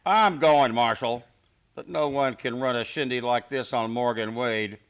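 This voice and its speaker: Man playing a gravelly, theatrical Western gunman. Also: medium pitch, measured pace, lightly acted. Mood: mock gracious. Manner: theatrical, mock-polite. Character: smug, menacing Western hired gunman, easily flustered. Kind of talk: Western radio drama acting.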